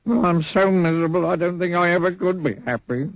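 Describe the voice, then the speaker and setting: A man's voice: on the high side, very animated, normal speed, a little mellow, dry recording, voice pushed up, poor audio. A man voicing a gloomy, sniffling little male storybook character who whines with self-pity.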